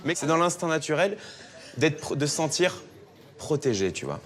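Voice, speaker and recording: Halting, stammering man in debate. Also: a little high, very animated. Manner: halting, stammers with repeated buts. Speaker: group discussion participant. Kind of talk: casual group debate.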